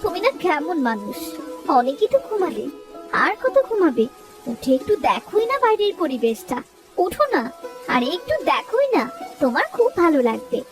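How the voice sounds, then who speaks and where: A woman voicing a caring, practical village wife and mother in a cartoon, coaxing in a homely, expressive way. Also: sits high, moderate pace, over music. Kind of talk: Bengali moral-story cartoon voice acting.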